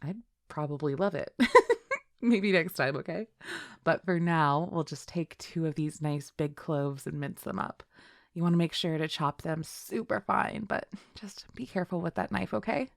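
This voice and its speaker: Woman acting as a laid-back bestie, low creaky voice. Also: normal speed, no echo, clean studio sound. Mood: amused. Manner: laid-back, playful, giggly, hesitant little fillers. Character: chill, teasing young female best friend cooking dinner. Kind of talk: intimate roleplay audio, casual and conversational.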